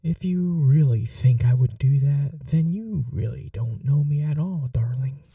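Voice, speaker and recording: A woman playing a possessive, wounded vampire mistress in an intimate ASMR roleplay, speaking softly and breathily, often in whispers, with slow, tender coaxing. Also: very deep voice, breathy edge, far from the mic, full character voice. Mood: longing, tender.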